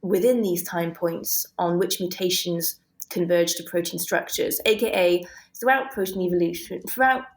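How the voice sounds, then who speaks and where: British woman, creaky voice, lower pitch, enthusiastic explainer, conversational interview guest. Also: moderate pace. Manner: enthusiastic about technical detail. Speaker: guest, scientist and author.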